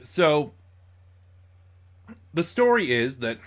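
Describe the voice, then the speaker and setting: A man giving an informal spoken review as host, in a halting way, stumbling over words and correcting himself. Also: a little high, sing-song, a bit bright.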